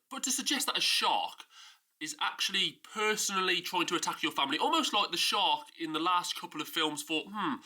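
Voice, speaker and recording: A man's voice: a little high, pushed and bright. A man with a stuffy nose from a cold, casually and emphatically ranting about a film in a vlog. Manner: exasperated, emphatic, mocking. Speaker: film review YouTuber.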